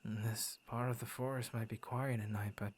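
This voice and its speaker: A man playing a gentle, shy young werewolf in an intimate ASMR roleplay, speaking softly and breathily, often near a whisper, with hesitant stammers. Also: mid-range voice, level tone, normal speed, breathy edge, close to an everyday voice. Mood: reserved, hesitant. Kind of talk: ASMR roleplay, intimate soft-spoken acting.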